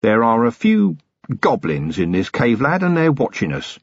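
Man acting a gruff, lively veteran police commander. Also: medium pitch, normal speed, dry recording, highs cut off. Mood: wry, wary. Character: seasoned, gruff, reassuring male police commander.

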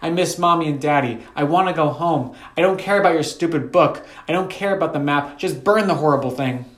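A man's voice: a little high, normal speed, fairly bright tone, reverberant, pitched above the performer's own voice, natural voice. A man voicing a frightened, stubborn little girl with a pleading, petulant manner in a story narration. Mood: angry, petulant.

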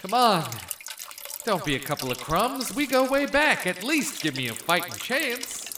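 1930s noir audio drama: male actor as hardboiled, wry private eye, animated delivery, echoing room. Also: sits high, measured pace. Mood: pleading. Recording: roomy, faint background noise.

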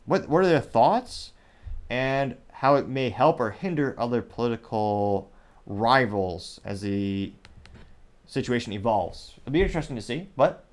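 A man's voice: medium pitch, expressive. A man hosting his own commentary show, rambling and correcting himself as he goes, recorded on a small USB microphone. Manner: rambling, self-correcting, mentions stuttering and speaking fast. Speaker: show host.

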